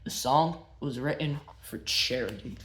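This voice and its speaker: A man narrating a biography as a read-aloud, telling it simply and in measured phrases. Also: mid-range voice, a bit bright. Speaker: narrator and show host.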